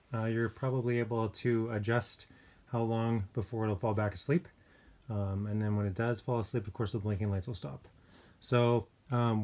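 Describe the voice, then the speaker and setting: A man giving a casual hands-on gadget review, speaking informally and hesitantly with pauses mid-thought. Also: mid-range voice, a little mellow. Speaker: product reviewer. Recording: clear background noise with clicks.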